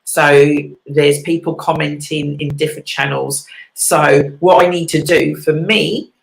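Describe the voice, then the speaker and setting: A woman's voice: deep voice, steady tone, a little slow, vocal fry. A woman hosting a casual chat show, chatty and prone to repeating her words. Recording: echoey room.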